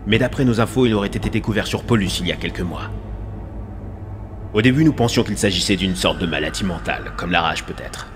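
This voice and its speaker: A man voicing a grave, weary spaceship crew leader in a dramatic animated film dub, speaking in a measured, ominous way. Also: deep voice, music in the background. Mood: ominous, serious.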